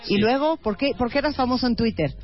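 A woman's voice: fairly high pitch, lively intonation, fast, lo-fi sound, soft background music. A Mexican woman hosting a radio interview, teasing and exclamatory in a playful chat. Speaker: radio host.

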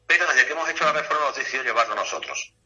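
A man's voice: mid-range voice, lively intonation, racing, pushed and bright, lo-fi sound, faint music behind. A man with an accent from Spain, talking chattily and repeating himself as a guest in a casual radio interview. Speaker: guest, golf club director.

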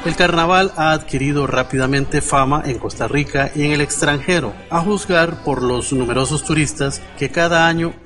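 Un hombre que lee una narración documental preparada para un programa de radio, con tono formal y pausado. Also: male voice, fairly high pitch, a bit bright. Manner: formal, measured reading. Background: music.

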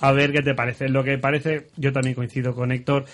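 A man's voice: mid-range voice, flat delivery, fairly quick. Man moderating a radio debate. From Spain. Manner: conversational, addresses panelists by name, teasing asides. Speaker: radio talk show host. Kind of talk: moderating a political panel. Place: radio studio.

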